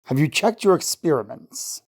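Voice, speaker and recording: A woman acts out a devoted scientist husband in a vintage-style radio play. The voice sits low, with a fond, encouraging manner. He is proud of his wife and teases her gently. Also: expressive, moderate pace, husky, full character voice. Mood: encouraging, curious. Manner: tender, encouraging, gently teasing. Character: affectionate, proud scientist husband, adult man. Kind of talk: old-time radio drama acting.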